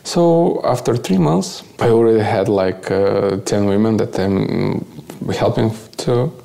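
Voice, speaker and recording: Bulgarian guy being interviewed, low mellow voice w lots of fry, non-native, keeps hunting for the right word. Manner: halting, searching for words in non-native English. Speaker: interview guest, volunteer activist. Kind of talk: conversational live talk, recounting experiences.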